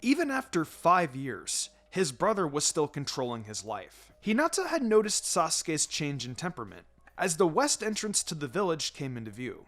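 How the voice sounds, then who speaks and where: A man reading a story aloud as an expressive narrator. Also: on the high side, normal speed. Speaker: fan fiction narrator. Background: background music.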